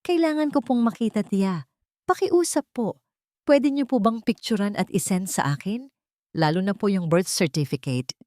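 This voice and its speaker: A woman's voice: mid-range voice, lively intonation, moderate pace, a little mellow, no room sound, clean studio sound, close to an everyday voice. A woman with a Filipino accent voicing an anguished young housemaid mother in an audio drama, pleading, hesitant and deferential. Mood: anxious pleading.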